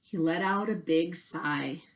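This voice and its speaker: A woman's voice: on the low side, takes it slowly. A middle-aged woman chatting casually and reading a storybook to children in a conversational way. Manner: conversational, addressing young listeners with questions. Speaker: read-aloud storyteller for children. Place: indoors in a bedroom at home.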